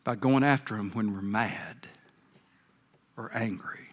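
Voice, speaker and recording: A man's voice: lowish voice, takes it slowly. Older male pastor with a big voice. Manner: big voice, earnest and folksy. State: a bit hard of hearing. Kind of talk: sermon.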